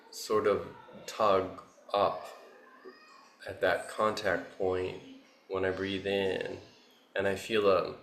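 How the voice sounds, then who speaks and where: A man teaching a guided meditation, giving gentle, unhurried instructions in short phrases with long pauses between them. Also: low-pitched, creaky. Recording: roomy echo, faint background noise.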